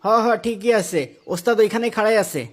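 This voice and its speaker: A man playing a frightened, grumbling young village gravedigger in a cartoon tale, excitable and complaining. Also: high voice, a little fast, natural voice. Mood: reluctant. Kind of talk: Islamic moral cartoon story acting.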